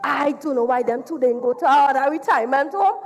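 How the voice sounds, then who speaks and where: Vain old Trinidadian temptress spirit acted by a woman, very high pitch, scornful, animated, stage comedy. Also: a little fast, over loud music. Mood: bossy. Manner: scornful, exclamatory. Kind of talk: theatrical stage dialogue. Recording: echoey theatre hall.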